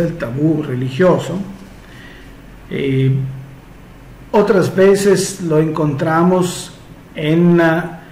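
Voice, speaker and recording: A man who is not a native Spanish speaker, talking slowly and hesitantly as a therapist answering follower questions, with frequent fillers. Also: on the high side, a little mellow, reverberant, a little hiss or hum, poor audio. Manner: slow and measured, many hesitations and fillers. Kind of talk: casual solo Q&A monologue.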